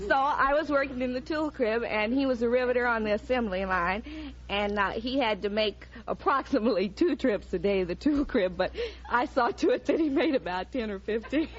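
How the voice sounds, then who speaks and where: High-voiced young American woman on old radio. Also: brisk, few pauses, over a noisy crowd. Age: young adult. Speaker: contestant. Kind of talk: answering a host's questions. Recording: muffled vintage radio with live audience.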